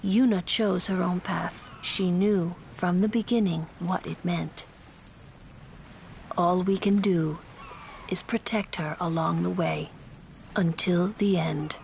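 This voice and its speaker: Protective sorceress, composed, measured, low-leaning female voice, slow, game drama. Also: fairly even intonation, creaky, darkish tone, a little hiss or hum. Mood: solemn, resigned. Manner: measured, solemn. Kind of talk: video game voice acting.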